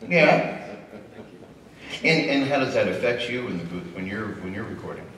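A man's voice: medium pitch, expressive, moderate pace, fairly bright tone. A man moderating a convention panel, asking questions in an enthusiastic, joking way. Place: convention hall, audience present. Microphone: handheld mic, far camera. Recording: echoey hall from far off.

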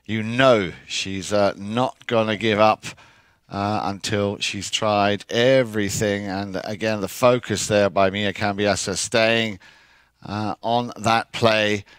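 A man calling live polo play-by-play, filling pauses with uh and lifting on big plays. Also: fairly low pitch, clean tone, no echo. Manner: frequent uh fillers, rising excitement on plays. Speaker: play-by-play commentator.

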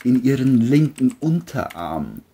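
Very deep, husky woman narrator. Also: sing-song, measured pace, dark tone. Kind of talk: scripted crime audiobook narration.

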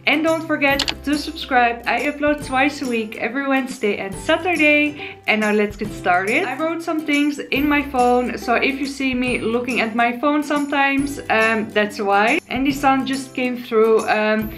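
A Dutch woman in her twenties with a Dutch accent, chatting on camera from her living room as she teaches basic words, and slowing down to spell them out. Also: high voice, a bit bright, faint music behind. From Netherlands. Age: 20s. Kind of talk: casual vlog lesson. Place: living room, sofa, indoors. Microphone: camera in front, seated.

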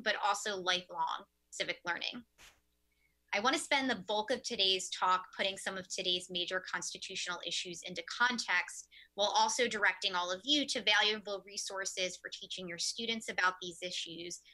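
A woman's voice: medium pitch, sharp tone, poor audio. A young woman giving a prepared keynote lecture to teachers from her office, earnest, with some fillers. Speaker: keynote speaker. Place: office with window blinds, indoors. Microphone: webcam at desk.

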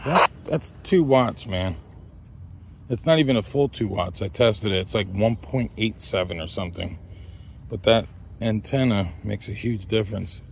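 A man's voice: lowish voice, moderate pace, creaky, a little hiss or hum. A man hosting an outdoor radio range test, repeating test calls and calling to his dog in an open field, recorded on a body-worn camera. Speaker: radio hobbyist host.

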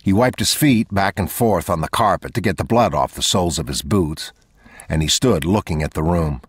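Male audiobook narrator, very low-pitched, measured and unhurried reading. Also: breathy edge.

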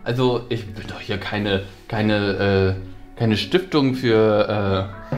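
A man playing a greedy male art teacher in a comedy sketch, stubbornly defending his snack sales in a bright staff room. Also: fairly low pitch, takes it slowly, faint music behind.

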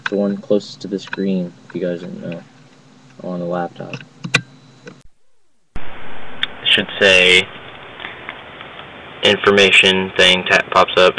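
A young man giving a casual, halting tutorial to camera as an app reviewer. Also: deep voice, pushed and bright. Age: young adult. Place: indoors, dim cluttered room at home. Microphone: laptop mic, later phone headset mic. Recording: muffled, noisy webcam-style recording, distant mic.

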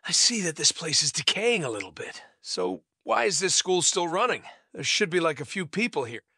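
Man voicing sarcastic high-pitched male high schooler. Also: big pitch swings, normal speed, pushed and bright, husky, no echo, studio quality, heavily acted. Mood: disdainful. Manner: sarcastic, confrontational. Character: irritated, sarcastic, foul-mouthed male high school student. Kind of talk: character voice lines.

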